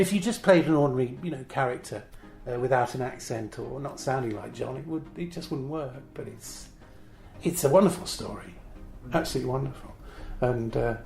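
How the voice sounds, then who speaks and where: A man's voice: medium pitch, a little mellow. An older man, an actor, chatting enthusiastically in a casual interview. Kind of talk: casual behind-the-scenes interview. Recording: roomy echo under faint music.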